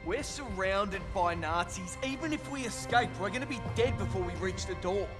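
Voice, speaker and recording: An actor playing a fearful, pessimistic captured soldier in a war video game. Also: male voice, high voice, animated, normal speed. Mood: despairing. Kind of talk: video game cutscene acting. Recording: music behind the dialogue.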